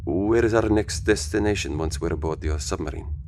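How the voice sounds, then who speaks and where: An actor playing an anxious, protective civilian in an audio drama, speaking in an earnest, formal, questioning way. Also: male voice, sits low, a little fast, a little mellow, a little hiss or hum. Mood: concerned, polite. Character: anxious, protective, courteous male civilian on a mission.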